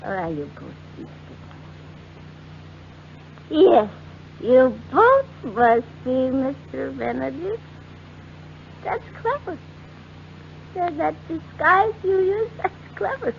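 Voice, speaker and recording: High-pitched unhinged woman, haunted and fearful; 1940s radio melodrama, muffled recording. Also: big pitch swings, slow, creaky, long pauses, slight background noise, heavily acted. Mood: suspicious, cunning. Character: unhinged, frightened wife convinced she is persecuted. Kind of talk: 1940s radio drama acting.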